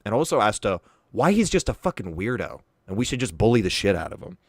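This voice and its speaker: A young man with a deep voice giving crude, mocking commentary and swearing freely. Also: sing-song, quick pace, no room sound, studio quality. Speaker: commentary streamer. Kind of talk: casual drama commentary.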